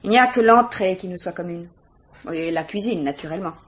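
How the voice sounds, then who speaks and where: An actress playing a guarded, disdainful young student, curt and defensive, in a 1950s French radio mystery. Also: female voice, lowish voice, fairly quick, husky. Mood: cool, distant.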